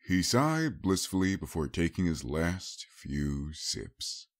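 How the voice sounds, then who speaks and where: A man's voice: sits low, very animated, slow. A man reading a scripted story as an audiobook narrator, in a dramatic storytelling style.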